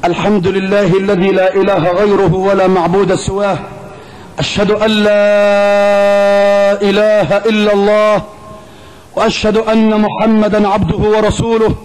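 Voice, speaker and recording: A male preacher with an Egyptian accent delivers an Islamic sermon at a strikingly high pitch. His delivery is emphatic and rhetorical, built on repeated phrases and pointed questions. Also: a little mellow, a little hiss or hum, poor audio. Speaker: preacher giving a Friday sermon.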